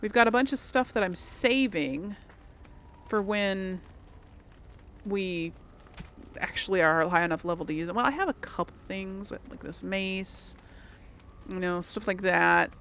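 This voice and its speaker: A woman gives relaxed, rambling game commentary at a slow pace. Her voice has plenty of creak, and she thinks aloud as she goes. Faint noise and game ambience sit behind her. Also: medium pitch. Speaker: gaming video host. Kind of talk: casual let's play commentary.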